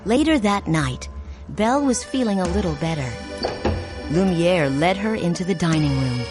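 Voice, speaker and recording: A woman narrating a children's read-along storybook in a clear, expressive way. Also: fairly low pitch, normal speed. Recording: music playing behind.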